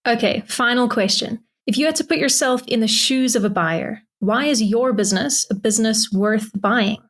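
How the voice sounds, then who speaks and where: A woman's voice: on the high side, normal speed. A woman hosting a podcast, asking short, businesslike interview questions. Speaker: podcast host.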